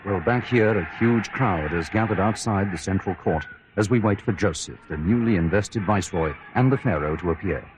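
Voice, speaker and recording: A man's voice: deep voice, moderate pace, few pauses, natural voice. A man playing an outside-broadcast radio reporter, giving descriptive live commentary on a ceremony over noisy ambience. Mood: watchful, expectant. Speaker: on-the-scene reporter.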